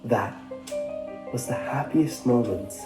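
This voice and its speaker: A man's voice: mid-range voice, slow pace, reverberant. A man reading a scripted first-person story as a narrator. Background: background music.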